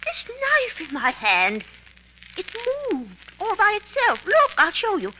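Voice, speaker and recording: An actress playing a prim, excitable, sharp-tongued spinster sidekick in a 1950s radio mystery, with flustered, expressive delivery. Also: female voice, extremely high pitch, a little slow, full character voice. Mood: uneasy. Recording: muffled, noisy 1950s radio recording.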